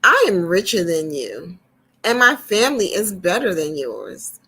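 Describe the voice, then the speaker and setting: Lady reading as a proud rich guy bragging, kids storybook, slow and sure of himself. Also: fairly low pitch, sing-song, sharp tone, slightly rough, full character voice, poor audio. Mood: boastful. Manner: boastful, overconfident. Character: proud, boastful rich man with a garden.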